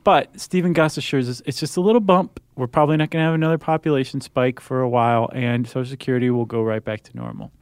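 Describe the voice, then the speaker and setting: Male American podcast co-host, higher-set voice, wry casual explainer, dry close recording. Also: steady tone, fast, few pauses, clear, resonant tone, no echo. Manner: wry, joking asides.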